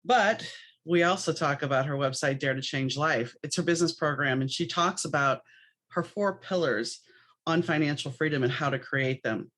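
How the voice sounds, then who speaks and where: A woman's voice: deep voice, normal speed, a bit bright. Chatty woman hosting a podcast. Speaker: podcast host. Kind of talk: conversational podcast interview.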